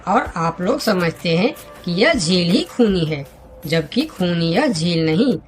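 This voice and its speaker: A woman's voice: lowish voice, animated, takes it slowly, faint music behind. Woman voicing a friendly, swooping cartoon forest animal. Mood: earnest, pleading. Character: friendly talking forest animals of a children's fable. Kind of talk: cartoon story character dialogue.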